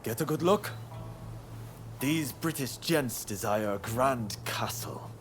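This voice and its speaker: A man's voice: medium pitch, lively intonation, slow pace. A man playing a brash, defiant samurai rebel commander in a video game cutscene, with a commanding, theatrical delivery. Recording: music behind the voice.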